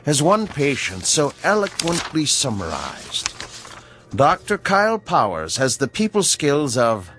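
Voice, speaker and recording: A slow, measured, mysterious stranger. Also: male voice, a little high, huge pitch swings, fairly bright tone, soft background music, heavily acted. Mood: stern, accusing. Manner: measured, formal, politely ominous. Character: mysterious male agent of cosmic balance, unflappable. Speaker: actor. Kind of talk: radio drama acting.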